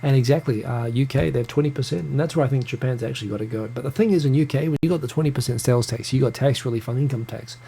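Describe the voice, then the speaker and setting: Gravelly New Zealand man, live chat. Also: medium pitch, very fast, hardly any pauses, mellow, a little hiss or hum. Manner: chatty, stumbling restarts, greets viewers by name. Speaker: live show host reading viewer comments. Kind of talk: casual live-stream chat. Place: home room in Tokyo.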